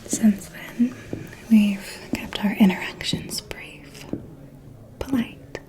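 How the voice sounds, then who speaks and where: A woman playing a refined, shrewd vampire noble who gossips in an elegant, deliberate manner in a whispered ASMR roleplay. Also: medium pitch, steady tone, close to an everyday voice. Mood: cool, guarded. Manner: slow, deliberate, elegant, hushed insinuation.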